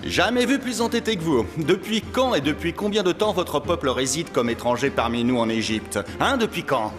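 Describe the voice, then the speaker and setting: A man playing a haughty, scornful, stubborn Pharaoh of Egypt with imperious delivery in an animated Bible story. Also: on the high side, moderate pace, lightly acted. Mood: exasperated. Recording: reverberant hall, music behind.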